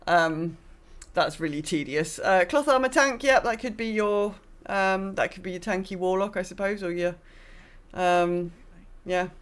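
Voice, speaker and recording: A woman's voice: lowish voice, a little slow, clear, resonant tone. Woman podcast co-host, informal and opinionated. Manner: opinionated, informal, mild swearing. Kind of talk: casual chat about a video game.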